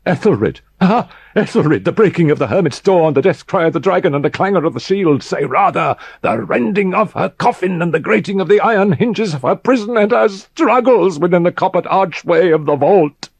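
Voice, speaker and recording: A man's voice: sits high, expressive, normal speed, vocal fry, hardly any pauses, husky, no echo, pitched above the performer's own voice, full character voice. A man voicing a crazed, terrified, guilt-ridden man with a tormented, theatrical delivery in a gothic horror audiobook. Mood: frenzied terror. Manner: tormented, theatrical, repeating words, building to shrieks.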